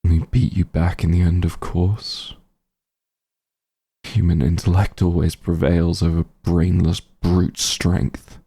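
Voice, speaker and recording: A very low, husky male voice acted by a man for a grim fantasy werewolf hunter in an audio roleplay. He is cold and contemptuous, with bitter grief underneath. The delivery is slow and deliberate, full of menace. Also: level tone, dark tone, full character voice. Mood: bitter, grieving. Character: bitter, menacing werewolf hunter grieving his missing friend. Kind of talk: scripted ASMR roleplay, slow dramatic monologue.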